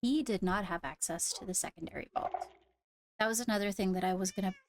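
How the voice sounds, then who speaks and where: A woman playing a guarded young royal in an improvised Minecraft roleplay, speaking in a measured, deliberate way as she reasons aloud. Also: medium pitch, background noise, close to an everyday voice. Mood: serious, deliberating.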